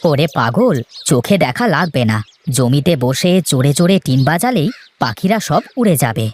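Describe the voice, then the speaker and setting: A lowered, steady voice for a sensible big brother who farms, put on by a woman. He speaks firmly in a children's cartoon, with a scolding edge. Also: normal speed. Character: sensible, firm eldest brother, a hardworking farmer.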